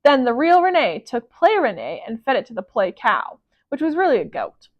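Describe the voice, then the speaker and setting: A woman reading a children's picture book aloud as a library storyteller, expressive and emphatic. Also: high voice, normal speed, lo-fi sound.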